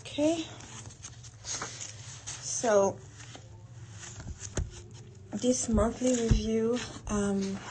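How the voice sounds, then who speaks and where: Slow-talking woman thinking aloud, noisy background. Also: mid-range voice. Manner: unhurried, halting, thinking aloud while doing tasks. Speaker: host of a planning audio log for a women's group. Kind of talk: casual think-aloud audio log. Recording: noticeable background noise.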